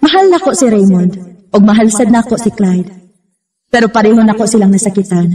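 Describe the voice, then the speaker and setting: A woman's voice: mid-range voice, a little fast, music in the background, lightly acted. An actress with a Cebuano Filipino accent playing a regretful woman who narrates her own love story in a reflective manner. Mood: reflective, resigned. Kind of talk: first-person radio drama narration.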